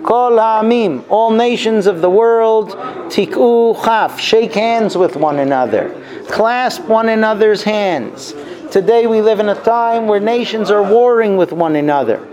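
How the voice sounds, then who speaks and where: A man giving a religious class as its teacher, explaining deliberately and telling stories as he goes. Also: high-pitched, darkish tone, poor audio. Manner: deliberate, explanatory, repeats and translates Hebrew phrases. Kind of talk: Torah class lecture with storytelling.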